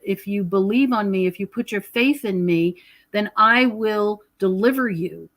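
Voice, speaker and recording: A mature woman talks as a guest in a conversational interview. She explains matters of faith earnestly, with a mid-pitched voice. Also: measured pace, a bit bright. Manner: earnest, explanatory, quotes scripture. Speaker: podcast guest, author and researcher. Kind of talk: conversational spiritual teaching.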